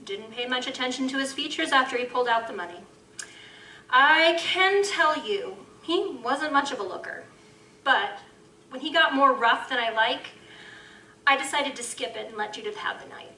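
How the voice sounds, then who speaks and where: A woman's voice: sits high, animated, normal speed, pushed and bright. An actress playing a sly, streetwise woman of the night in a live audio drama, speaking in a teasing, knowing manner. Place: live convention stage. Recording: echoey stage from far off, faint noise.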